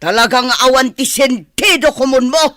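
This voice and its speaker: A stern, disapproving matriarch played by an actress in a radio drama, with a sharp, forceful voice. The recording is very dry. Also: female voice, high-pitched, animated, normal speed, dry recording. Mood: indignant, stern. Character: stern, disapproving wealthy mother, mistress of household. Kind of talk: Ilocano radio comedy drama acting.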